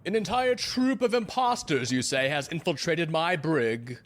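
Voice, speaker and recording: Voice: mid-range voice, expressive, a little slow, natural voice. An actor playing a menacing, pompous first mate in an audio drama, speaking in antiquated, intimidating language. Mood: menacing, stern. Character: menacing, archaic-talking first mate, fanatical method actor. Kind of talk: theatrical audio drama acting.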